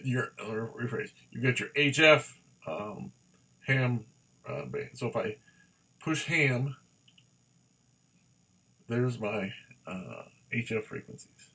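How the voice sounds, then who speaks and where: A man talks through a gadget review in a casual, hands-on style. His voice sits at a medium pitch. He rambles a little, stopping and starting and circling back over the same points. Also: expressive, creaky, sharp tone, husky, poor audio. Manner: halting, rambling, repeats himself. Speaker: product reviewer.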